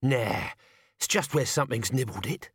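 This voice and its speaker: A man's voice: mid-range voice, lively intonation, normal speed, husky, dry recording. A man voicing a cheeky, earthy, loyal little servant with a matey, irrepressible, colloquial manner. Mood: offhand. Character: cheeky, loyal servant hidden among sacks, chirpy, earthy.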